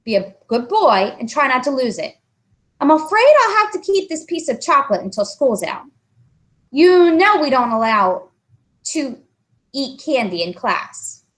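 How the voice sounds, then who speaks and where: A woman reader puts on a firm, skeptical lady schoolteacher from a storybook. She admonishes deliberately and emphatically, with a fairly high voice that moves a lot in pitch, strict yet concerned. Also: very animated, fairly bright tone, heavily acted. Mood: concerned, instructing.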